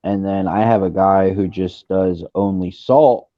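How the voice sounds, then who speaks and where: A man's voice: low voice, fairly even intonation, a little slow, dry recording. A man around thirty on a gaming headset, chatting casually and rambling with fillers. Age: 30s. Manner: laid-back, rambling with fillers. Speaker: streamer. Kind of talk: casual chat. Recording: dull lo-fi stream audio.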